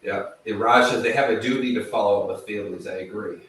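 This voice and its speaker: A man hosting a live true crime interview show, chatty and apologetic, reading out tip line numbers slowly. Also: fairly low pitch, a bit bright. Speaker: host. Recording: very echoey, distant mic, faint noise.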